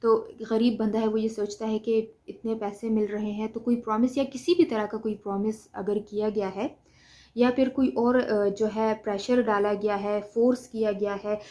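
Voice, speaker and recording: A woman's voice: medium pitch, flat delivery, normal speed, warm tone. A woman with a Pakistani accent giving an explanatory law lecture, didactic, often posing questions and answering them herself. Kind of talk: explanatory lecture mixing Hindi-Urdu with English legal terms.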